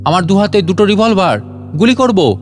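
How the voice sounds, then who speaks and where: A man's voice: high-pitched, big pitch swings, normal speed, faint music behind, pitched above the performer's own voice. A man voicing a cocky master thief in a comic storybook reading. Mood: panicked. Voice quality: coughing laugh. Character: cocky master thief with a hacking cough, later panicking.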